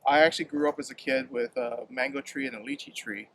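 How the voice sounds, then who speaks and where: A man from Hawaii, a home fruit grower, talking in a halting, casual way, often repeating words and filling pauses with um, recorded outdoors in a yard. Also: medium pitch, fairly even intonation, a bit bright, slight background noise, rough recording. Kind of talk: casual interview.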